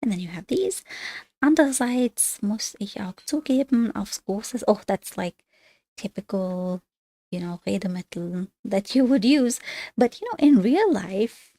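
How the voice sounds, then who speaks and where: A woman studying German on a livestream, reading workbook exercises aloud and thinking out loud in as she checks her answers. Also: mid-range voice, animated, a little slow, dry recording. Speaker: language learner studying on a livestream.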